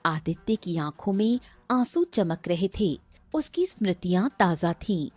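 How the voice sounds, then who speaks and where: A woman narrates a scripted tale in storybook fashion. Her tone is mellow and she takes her time over each sentence, with light music playing behind her. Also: fairly low pitch, sing-song, unhurried, husky, dry recording.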